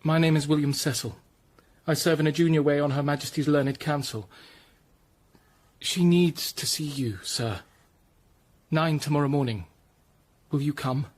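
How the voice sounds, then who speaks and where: Casting: man as young English Tudor lawyer, slightly high, breathy voice, formal, earnest and deferential, for radio drama. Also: normal speed, pauses often, darkish tone, lightly acted. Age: young adult. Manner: polite, careful, formal.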